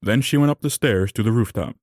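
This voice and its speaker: A man's voice: fairly low pitch, brisk, darkish tone, a little hiss or hum. A man reading scripted narration for an audio drama. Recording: music under the voice.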